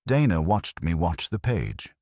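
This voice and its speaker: Very deep-voiced man narrating detective fiction. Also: very animated, measured pace, darkish tone, no echo, studio quality. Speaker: audiobook narrator. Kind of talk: first-person detective story narration.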